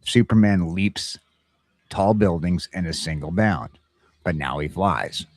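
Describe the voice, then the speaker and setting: A man chatting casually as a talk show co-host, blunt and crude, with a halting, opinionated delivery. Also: deep voice, no room sound. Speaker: co-host of a geek culture morning chat show.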